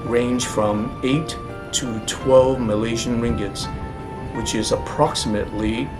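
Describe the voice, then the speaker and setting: A man from the United States giving a measured, informative travel narration as a voice-over. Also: medium pitch. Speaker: travel vlogger. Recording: narration over clear background music.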